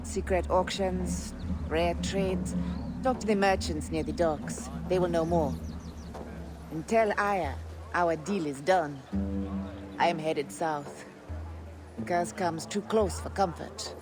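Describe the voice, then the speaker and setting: Brief: an actor as a measured informant, mid pitch, over loud music. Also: lightly acted. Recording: game audio with music beneath.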